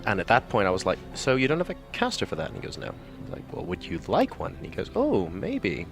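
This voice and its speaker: A man from Hong Kong, an esports caster, talking quickly and enthusiastically in an interview at a busy event. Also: on the low side, huge pitch swings, vocal fry, over music. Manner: quick, chatty and enthusiastic, with frequent fillers. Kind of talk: casual interview answers.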